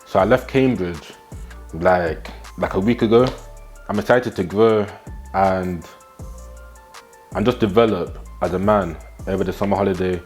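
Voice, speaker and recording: A nineteen-year-old man speaks in a fairly low voice, giving a motivational talk to camera. He is blunt and slangy and drives his points home by repeating them. He is recorded on a small clip-on mic in a small room at home, with faint music underneath. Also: a little slow, long pauses, darkish tone. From England. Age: teens. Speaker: fitness and self-improvement vlogger.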